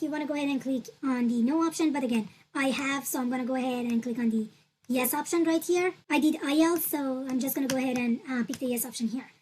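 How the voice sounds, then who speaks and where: High-voiced woman walking through a form. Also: low-quality recording. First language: Turkish. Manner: patient, repetitive instructional talk with fillers. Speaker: tutorial presenter. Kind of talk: screen-recorded walkthrough.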